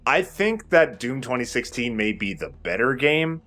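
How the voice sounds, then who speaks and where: A man's voice: medium pitch, big pitch swings, normal speed, sharp tone. A man giving casual, joking gameplay commentary as host and player, with some swearing. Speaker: let's play host and player. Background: video game sounds.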